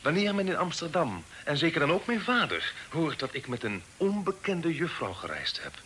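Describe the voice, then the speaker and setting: An actor playing a well-bred, earnest young gentleman in a period radio play, speaking politely and formally. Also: a little high, very animated, moderate pace. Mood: hesitant. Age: young adult. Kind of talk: period radio drama acting. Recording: hissy old radio play recording.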